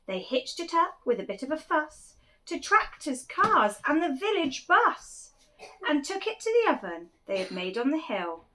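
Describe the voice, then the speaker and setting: A woman reading a rhyming children's picture book aloud, expressively and with deliberate dramatic emphasis. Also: high voice, very animated, sharp tone, slight background noise, poor audio. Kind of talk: animated children's story reading, rhyming verse.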